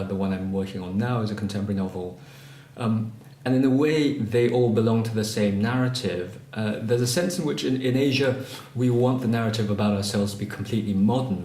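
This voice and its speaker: A man from Malaysia talks over his writing in a low voice, pausing and restarting as he thinks. The room around him is echoey, with a faint hum of ambience underneath. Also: a little mellow. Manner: hesitant, many ums and restarts. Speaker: interviewee, novelist. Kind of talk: reflective interview answers.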